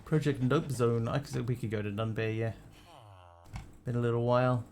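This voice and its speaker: Guy who grew up in australia, streamer just chatting, lots of ums and restarts, mid pitch. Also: fairly even intonation, moderate pace, a little mellow, a little hiss or hum. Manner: rambling, hesitant with many ums and restarts. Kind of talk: casual live-stream chat.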